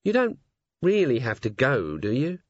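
A man's voice: medium pitch, huge pitch swings, normal speed, no echo. A man voicing a shy, nervous young female dwarf who stammers and hesitates. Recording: slightly dull lo-fi audio.

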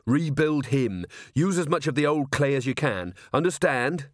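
A man voicing a calm, earnest police captain in a storybook, speaking politely and with firm command. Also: medium pitch, moderate pace, dry recording, studio quality, voice pushed up, natural voice.

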